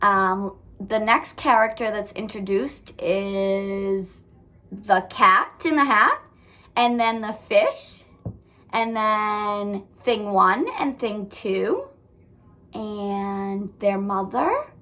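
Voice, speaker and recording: A woman's voice: medium pitch, drawn-out words, bright, forceful tone. A woman teacher reading aloud and talking to young children in an instructive way, often putting questions to them. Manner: instructive, asks the listeners questions. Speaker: elementary school teacher. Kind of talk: children's read-aloud and lesson.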